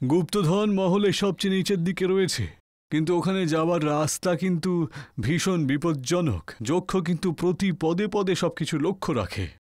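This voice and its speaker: A menacing male guide in a horror tale, acted by a man. He gloats and taunts with deliberate, ominous delivery, in a dry close recording. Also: high-pitched, darkish tone, no room sound, lightly acted. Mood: warning. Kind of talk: audio horror story acting.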